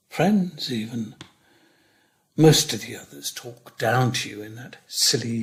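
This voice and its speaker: A man performs a lonely retired schoolteacher of nearly eighty, living in a care home. He speaks slowly and reflectively, with measured pauses and a fairly high pitch for a man. His delivery of this stage monologue is wry and rises and falls expressively. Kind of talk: dramatic monologue reading.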